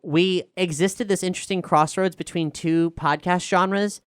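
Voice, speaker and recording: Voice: mid-range voice, fairly even intonation, a little slow, smooth, no echo, studio quality. A podcast showrunner and host talking casually with guests, then reading out an earnest promotional announcement to listeners.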